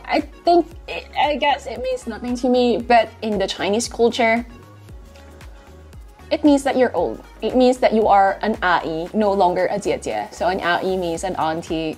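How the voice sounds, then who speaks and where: A woman's voice: mid-range voice, darkish tone. A woman in her late twenties chatting casually in a bubbly, giggly way, talking into a clip-on mic in a small apartment. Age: 20s. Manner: bubbly, giggly, sings and repeats phrases. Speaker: vlogger. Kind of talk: casual vlog chat. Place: small apartment room, indoors. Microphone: clip-on lapel mic.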